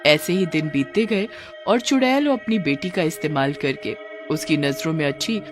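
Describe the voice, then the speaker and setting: A woman's voice: low-pitched, normal speed, over music. A woman narrating a scripted cartoon horror story as its storyteller.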